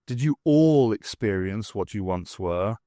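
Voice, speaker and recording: Brisk, clever female adventurer, performed by a male reader, animated intonation, explanatory delivery, dry audiobook sound. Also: fairly low pitch, dry recording, pitched below the performer's own voice. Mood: explaining. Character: Bernice, brisk clever adventurous woman heroine. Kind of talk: audiobook character voice.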